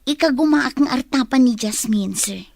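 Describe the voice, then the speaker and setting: A woman's voice: high-pitched, moderate pace, gravelly, a little mellow, no echo, studio quality. A woman playing a young office secretary with a Filipino accent, eager to please yet resentful, in a radio drama. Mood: eager, deferential.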